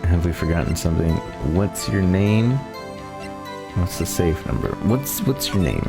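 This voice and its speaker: A man's voice: low-pitched, big pitch swings, moderate pace, creaky, music in the background, heavily acted. A man voicing an earnest, stubborn young defense lawyer in a courtroom video game, speaking insistently. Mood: uneasy. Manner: declarative, insistent. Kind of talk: voiced playthrough of a courtroom game.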